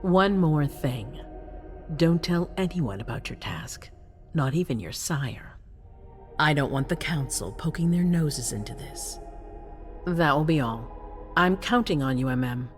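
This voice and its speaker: A woman's voice: sits low, animated, measured pace, faint music behind. An actress playing a cold, ruthless female vampire leader in a video game, speaking with imperious authority. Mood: businesslike, authoritative. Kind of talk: dramatic video game acting.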